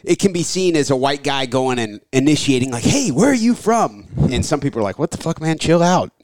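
A man's voice: a little high, sing-song, a little fast, darkish tone, no room sound. A middle-aged man hosting his own podcast, talking in a chatty, rambling way and reading his own ad spots. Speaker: podcast host. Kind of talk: casual interview chat.

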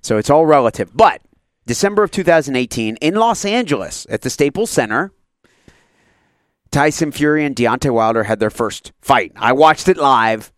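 Lively thirty-something male radio host. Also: medium pitch, moderate pace, dry recording, clean studio sound. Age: 30s. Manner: lively, rambling, enthusiastic. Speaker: radio sports show host. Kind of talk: casual sports radio chat.

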